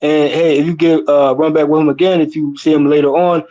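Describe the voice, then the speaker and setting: Higher-voiced American man talking fight strategy. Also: flat delivery, fairly quick, mellow, highs cut off. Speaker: boxing show panelist. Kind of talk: casual fight analysis chat.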